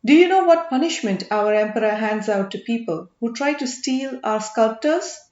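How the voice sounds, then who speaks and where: A woman's voice: fairly high pitch, animated, moderate pace, a little mellow, roomy sound, dull audio, lightly acted. A woman voicing a scheming, derisive middle-aged male commander in an audiobook. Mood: mocking. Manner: derisive, needling.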